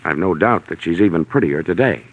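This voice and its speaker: Anxious, formal, low-voiced client. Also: male voice, lively intonation, brisk, phone-quality sound. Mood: hesitant. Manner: careful, proper, insistent. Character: anxious, formal male client seeking a missing girl. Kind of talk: 1940s radio drama acting. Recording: vintage 1940s radio recording.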